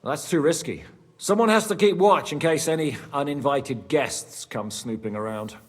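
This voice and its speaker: An actor playing a practical, plain-spoken leader of a medieval band in a naturalistic game cutscene. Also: male voice, fairly high pitch, moderate pace, natural voice. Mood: brisk, instructing. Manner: blunt, matter-of-fact.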